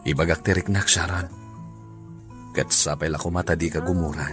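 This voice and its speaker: A man's voice: low voice, fairly even intonation, normal speed, natural voice. A man acting an earnest, lovestruck young man in a radio drama, with an Ilocano accent and a tender, pleading manner. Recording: radio drama with music underneath.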